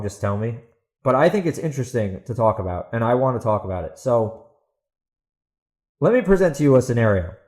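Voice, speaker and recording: A man's voice: mid-range voice, a little mellow. A man hosting a solo podcast, talking casually and emphatically with opinionated asides. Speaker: podcast host and author.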